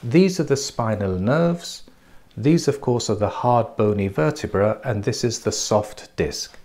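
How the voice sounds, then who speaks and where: Slow, lively-toned man explaining. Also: mid-range voice. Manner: measured, explanatory, pointing things out. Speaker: clinic practitioner presenting treatment. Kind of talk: scripted promotional presentation. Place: bright clinic treatment room, indoors. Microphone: lapel mic.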